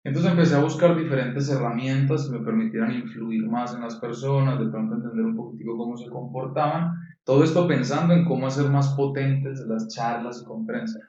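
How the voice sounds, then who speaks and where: A man's voice: medium pitch, mellow. Colombian-born man in an echoey room. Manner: measured, reflective, with frequent restarts. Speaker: guest, neurolinguistic programming coach. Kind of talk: interview answers. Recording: echoey room, distant dull mic.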